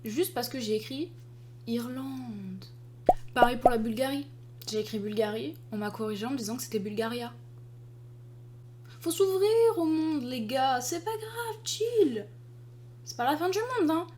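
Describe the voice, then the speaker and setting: A young woman from France talks straight to her viewers in a loose, casual chat. Her voice sits a little high for a woman. She tells stories in a lively, exasperated way, with plenty of hesitations and fillers. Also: measured pace, pauses often, slight background noise. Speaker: artist and video creator.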